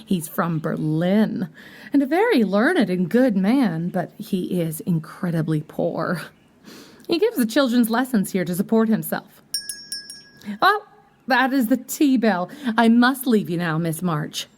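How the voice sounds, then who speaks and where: A woman's voice: mid-range voice, big pitch swings, measured pace. A woman playing a welcoming, busy boarding-house mistress in an audio play, chatty and gracious. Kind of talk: audio drama acting.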